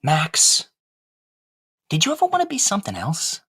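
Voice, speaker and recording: Husky, wistful wooden dummy. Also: male voice, on the high side, wildly swooping pitch, moderate pace, pushed and bright, heavily acted. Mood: earnest, aspiring. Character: wistful, philosophical wooden male ventriloquist dummy. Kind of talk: comic audio play dialogue.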